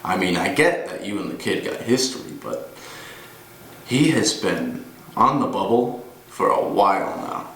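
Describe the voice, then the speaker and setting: A man performing a dramatic monologue as a gruff, weary older ex-cop, grimly menacing, telling his tale deliberately with pauses. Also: fairly low pitch, expressive, a bit bright, voice pushed down. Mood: firm, dismissive. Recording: roomy, distant mic with noise.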